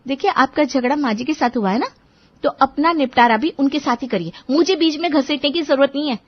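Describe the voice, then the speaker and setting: A woman's voice: high voice, a little fast, voice pushed up, natural voice. A woman voicing a young newlywed wife who answers her irritable husband defensively but patiently, in a dull lo-fi recording. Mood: indignant. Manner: defensive, reasoning.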